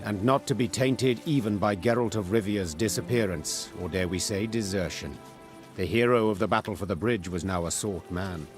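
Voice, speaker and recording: Deep-voiced solemn saga narrator acted by a man. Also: close to an everyday voice. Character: solemn male chronicler of a war saga. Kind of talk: scripted storybook narration in a fantasy video game.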